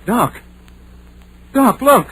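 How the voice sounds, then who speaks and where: A man's voice: extremely high pitch, animated, drawn-out words, sharp tone, exaggerated voice. An actor playing a frightened, reluctant country townsman, hesitant and folksy, in an old radio horror play. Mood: alarmed. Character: frightened, reluctant rustic townsman, nervous and superstitious. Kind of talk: 1940s American radio horror acting. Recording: noisy old radio recording.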